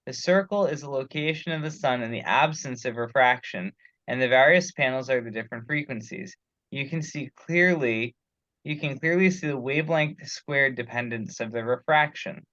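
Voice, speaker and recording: A man speaks in a casual technical discussion, leading a group call. His voice has a middling pitch, and the sound is dry but dull, like online meeting audio. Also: a bit bright, no echo. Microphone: teleconference call. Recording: muffled, lo-fi conference call audio.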